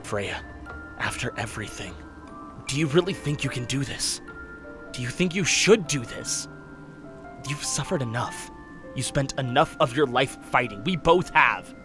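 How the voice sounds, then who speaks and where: Woman voicing low, hesitant, protective young god. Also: animated, unhurried, pauses often, music in the background. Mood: worried. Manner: hesitant, concerned. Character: worried, protective male god, young twin brother. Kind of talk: audio drama acting.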